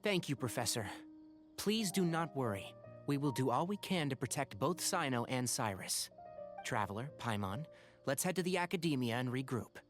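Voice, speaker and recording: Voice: mid-range voice, normal speed, soft background music, lightly acted. A voice actor playing a composed traveler hero in a game cutscene. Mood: calm. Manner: calm, composed and reassuring. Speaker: traveler, player character.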